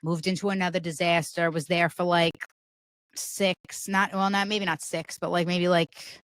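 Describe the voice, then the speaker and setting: A woman with a deep voice, guesting on a podcast and talking in a loose, rambling way full of "like". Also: little pitch movement, moderate pace, a bit bright, clear, resonant tone, no room sound. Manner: rambling, heavy use of "like" fillers. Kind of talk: casual chat.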